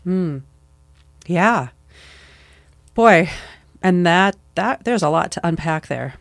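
A woman's voice: fairly low pitch, expressive, slow pace, creaky, no room sound, clean studio sound. A middle-aged woman talking with her daughter in an earnest, reflective way, with many fillers. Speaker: mother. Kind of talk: casual conversation.

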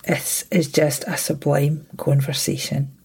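A woman's voice: sits low, level tone, measured pace, creaky, husky. Woman hosting a podcast. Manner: warm, gushing, encouraging. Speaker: podcast host. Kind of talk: podcast interview.

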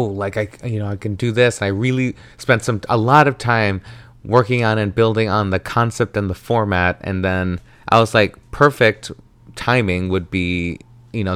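Man, 30, from the American South, solo podcast host; low, smooth, dry-miked, chatty and dramatic. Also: no room sound. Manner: chatty, animated, self-dramatizing with frequent tangents.

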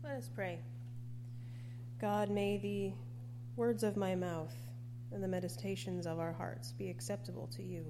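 A woman's voice: mid-range voice, fairly even intonation, measured pace, pauses often. A woman preacher delivering a scripted sermon. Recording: noisy recording with hum.

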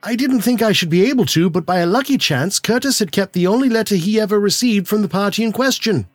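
A man voicing a polite, earnest gentleman who speaks courteously and eagerly. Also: high-pitched, fast, no echo, pitched above the performer's own voice, natural voice.

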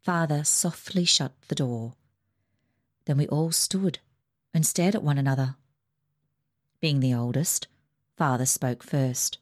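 Brief: female audiobook narrator, slightly low voice, expressive storytelling. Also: slow pace, pauses often. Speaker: audiobook reader.

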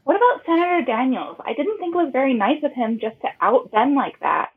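A woman's voice: high-pitched, lively intonation, a little fast. A woman playing a worried, sympathetic caller in a fiction podcast, with a plaintive manner, over a phone line. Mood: sympathetic, indignant. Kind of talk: scripted fiction podcast acting. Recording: tinny narrow phone line.

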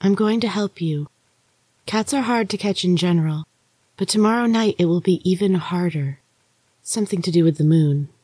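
A narrator voicing a protective, enigmatic ghost sister in an earnest, soft-spoken way for a storybook. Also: medium pitch, normal speed, slightly rough, no echo, pitched above the performer's own voice, natural voice. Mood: solemn.

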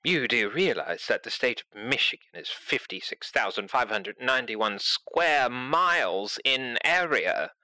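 A man's voice: medium pitch, animated, a little slow, hardly any pauses, sharp tone, dry recording. A man voicing a fussy, polite robot butler with a pedantic, exasperated manner in a roleplaying audio drama. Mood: incredulous. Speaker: player in an actual-play audio drama.

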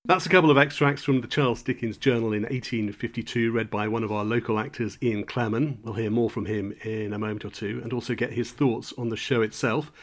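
A man's voice: medium pitch, fairly even intonation, a little fast, few pauses, dry recording. A man with a British accent presenting a local magazine podcast as its host.

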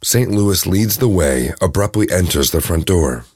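A man's voice: deep voice, expressive, normal speed, darkish tone, no echo, studio quality. A man narrating an audio drama from a script, setting each scene.

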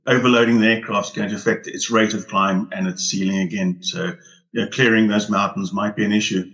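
A man with a fairly low voice gives an informal technical explanation as an expert pilot. He builds each point in repeated, stacked phrases. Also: steady tone, fairly quick, a bit bright, muffled recording. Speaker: interviewed pilot, expert guest.

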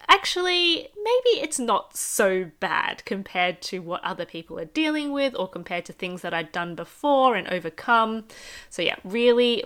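A woman's voice: mid-range voice, fairly bright tone. A woman in her thirties with an Australian accent, hosting a casual solo business podcast and chatting upbeat and directly to the listener.

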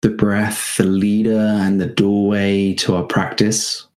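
A man guiding a meditation in a gentle, unhurried manner, leaving spacious pauses between short phrases. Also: on the low side, little pitch movement. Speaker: meditation guide. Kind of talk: guided meditation.

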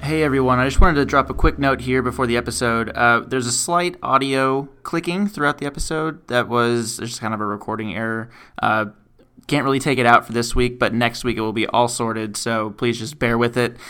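A man hosting a security podcast, a little croaky, chatty and relaxed with plenty of fillers. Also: mid-range voice, a little fast. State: slightly croaky voice. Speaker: podcast host. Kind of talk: casual podcast chat.

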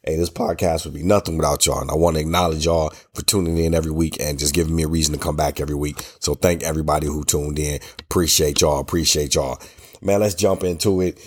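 An American man hosting a solo podcast in casual, colloquial, emphatic talk, with a stuffy, hoarse voice from a cold. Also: rumbling low, fairly even intonation, fast talker. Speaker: podcast host.

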